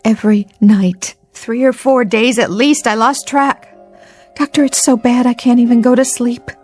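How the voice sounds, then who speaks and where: An actress playing an exhausted, anxious club dancer in a radio drama, fretful and protesting. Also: female voice, on the high side, fairly even intonation, normal speed, a little mellow, faint music behind, close to an everyday voice. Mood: weary, edgy.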